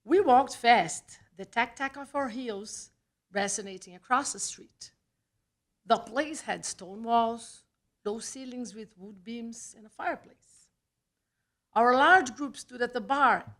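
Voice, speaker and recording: A woman with a Brazilian accent, a native Portuguese speaker, reads a personal essay aloud at a live event, with deliberate phrasing and dramatic pauses. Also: on the high side, a bit bright. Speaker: writer reading own personal essay. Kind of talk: scripted storytelling read aloud at a live event. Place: small theatre, live reading event.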